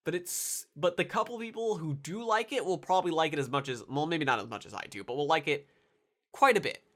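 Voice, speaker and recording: A man's voice: on the high side, lively intonation, fairly quick, fairly bright tone. A congested young man with a nasal voice, rambling casually through anime commentary with lots of fillers and restarts. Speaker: anime video reviewer.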